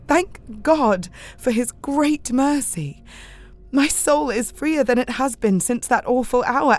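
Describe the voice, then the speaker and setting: An actress playing a thoughtful, earnest young woman who reasons things out in an audio drama. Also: a little high. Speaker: heroine of a gothic tale.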